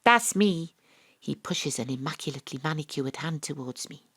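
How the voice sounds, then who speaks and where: Welsh female detective, wry, blunt, lowish voice, first-person audio drama narration. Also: lively intonation, moderate pace, a bit bright, slightly rough, full character voice. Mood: dry. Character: wry, brash, independent-minded female investigator narrating her case.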